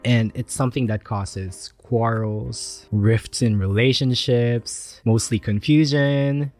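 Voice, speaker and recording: A man's voice: medium pitch, fairly even intonation, slow, a little mellow, soft background music. A young man co-hosting a casual podcast, teasing and laughing often. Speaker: podcast co-host.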